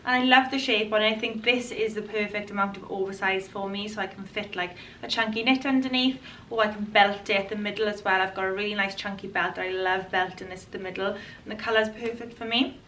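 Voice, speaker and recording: Slightly hoarse, bright-voiced woman vlogger. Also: mid-range voice, recorded from a distance, slight background noise, rough recording. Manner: chatty, enthusiastic, restarts and repeats words. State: hoarse from a cold. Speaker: fashion vlogger. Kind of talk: casual talk to camera. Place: bright room indoors.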